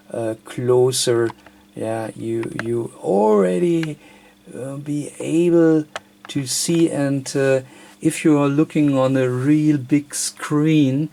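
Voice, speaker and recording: A man presenting an unscripted hands-on electronics tutorial, thinking aloud in a halting, hesitant way. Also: medium pitch, vocal fry, a little mellow. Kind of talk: unscripted hands-on technical demonstration.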